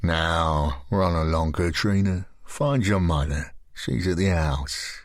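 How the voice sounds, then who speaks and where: An actor voicing a menacing grown man who speaks in a calm, patronizing way. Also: low-pitched, slow pace, no room sound, studio quality, pitched below the performer's own voice.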